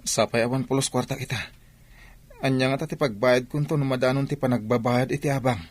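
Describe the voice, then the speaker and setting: Male actor as broke, glum Ilocano friend, average male pitch, hesitant complaining delivery for comedy drama. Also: steady tone, brisk, natural voice. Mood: plaintive. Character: glum, broke man with woman troubles, touchy among friends. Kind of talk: radio comedy drama acting.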